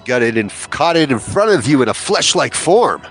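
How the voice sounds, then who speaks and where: A man's voice: medium pitch, very animated, moderate pace, fairly bright tone. A man acting as game master, narrating and refereeing a tabletop role-playing game over music. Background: background music.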